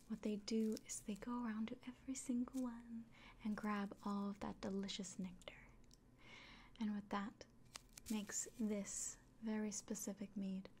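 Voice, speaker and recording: A woman playing a kindly, fussing innkeeper in a fantasy ASMR roleplay, whispering gently and murmuring to the listener. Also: mid-range voice, a little hiss or hum, lightly acted. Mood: warm, hospitable.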